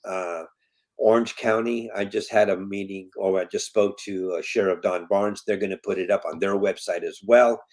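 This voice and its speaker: A man's voice: deep voice, fairly even intonation, normal speed, darkish tone. A man in his fifties from Colombia, talking as an interview guest and foundation president in earnest, emphatic tones, repeating his key points. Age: 50s. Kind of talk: casual interview talk.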